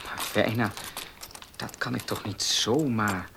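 An actor playing a desperate, hot-tempered young horse owner in a Dutch radio play. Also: male voice, lowish voice, takes it slowly, creaky. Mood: hushed, uneasy. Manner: urgent, forceful. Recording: noisy old radio play recording.

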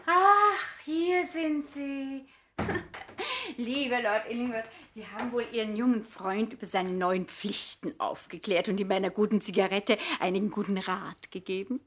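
Eine Schauspielerin spielt in einem Hörspiel der 1960er eine ältere, überschwänglich liebenswürdige und vornehme Gastgeberin. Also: female voice, mid-range voice, normal speed, fairly bright tone, a little hiss or hum, lightly acted. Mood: gracious, chatty. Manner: effusive, genteel, coaxing. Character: chatty, gracious older society hostess lady. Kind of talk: 1960s German radio play acting.

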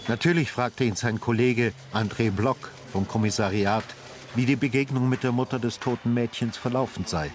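A man narrating a literary radio drama in a sombre, measured and grave style. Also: medium pitch, steady tone, a little hiss or hum.

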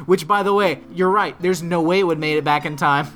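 A man telling a long, animated story in casual chat, swearing freely, with a strong, trained voice. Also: a little high, brisk. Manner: trained projecting voice. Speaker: podcast co-host. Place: Orlando area.

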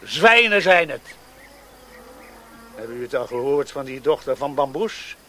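An actor playing a bitter, hot-tempered village friar and schoolmaster, scornful and scolding, in a radio drama. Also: male voice, medium pitch, very animated, measured pace, pushed and bright.

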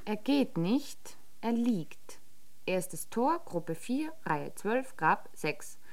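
A detective agency's secretary in a radio play, with a mid-pitched voice. She is cool and deadpan, delivering dark wit in a dry, matter-of-fact way. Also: very slow, full character voice. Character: coolly witty detective-agency secretary writing crime novels. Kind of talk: radio drama acting.

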